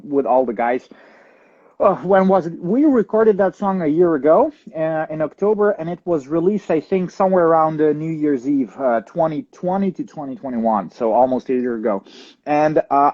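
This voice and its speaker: A young man from Ukraine answering interview questions, thoughtful and halting, with many fillers and restarts. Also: fairly high pitch, warm tone, dull audio. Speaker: guest drummer.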